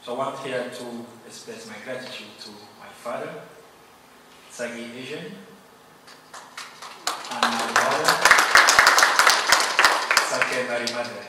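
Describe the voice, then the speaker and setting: A man from Cameroon, a native Yemba speaker, giving an earnest, grateful award acceptance speech with measured pauses. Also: mid-range voice, over a noisy crowd. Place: large hall. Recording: echoey hall, distant mic, noisy.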